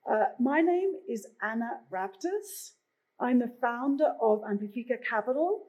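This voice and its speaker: Picture a middle-aged Australian woman speaking from a conference stage, her voice sitting high. She talks in a chatty way, with plenty of "uh" fillers between her phrases. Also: dark tone, rough recording. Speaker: moderator.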